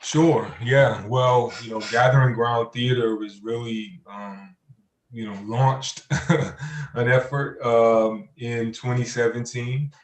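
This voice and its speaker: A man speaking as an expert panelist, explaining advocacy work in halting, measured phrases. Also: medium pitch, steady tone. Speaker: criminal justice program director, panelist. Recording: roomy, echoey sound.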